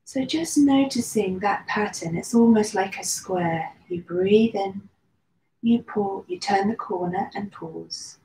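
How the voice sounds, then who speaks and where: A woman teaching meditation in a mellow, soft voice, slow and soothing with long pauses between phrases. The room sounds echoey and there is a faint hiss of background noise. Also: medium pitch. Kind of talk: guided meditation.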